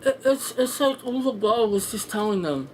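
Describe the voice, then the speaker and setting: A woman's voice: on the high side, animated, normal speed, a little mellow. Exasperated, sweary woman over background noise. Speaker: film reviewer and host. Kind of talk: unscripted ranting movie review.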